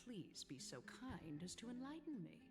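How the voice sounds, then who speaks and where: An actress playing an imperious, formal council leader in a video game, stately and mock-polite. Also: female voice, on the high side, sing-song, normal speed. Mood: icily polite. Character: imperious formal woman presiding over a council. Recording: loud music under the voice.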